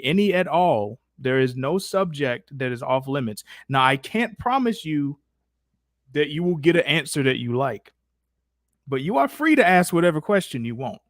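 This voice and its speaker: A man hosts a casual live stream in a slightly high voice. He talks informally with plenty of slang, addressing his chat. The recording is dry, with very little room sound. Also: no room sound.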